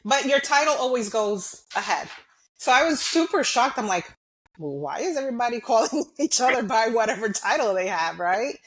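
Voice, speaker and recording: A woman's voice: fairly high pitch, very animated, moderate pace, fairly bright tone, a little hiss or hum, rough recording. A young woman from New York, a guest in a casual interview, talking easily and laughing often. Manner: easygoing, laughs often, mixes in Spanish words.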